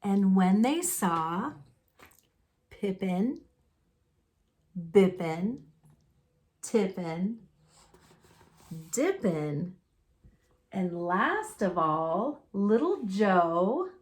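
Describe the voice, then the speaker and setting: A woman reading a children's storybook aloud expressively and deliberately, with dramatic pauses. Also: medium pitch, very animated, a little mellow. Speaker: storybook reader.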